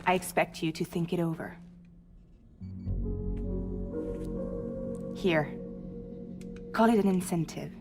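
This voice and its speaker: An actress playing a weary, steely young courier with strange powers in a cinematic game, speaking in measured, terse, cryptic lines. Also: female voice, fairly low pitch, music in the background, lightly acted. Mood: persuasive, cool. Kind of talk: cinematic video game acting.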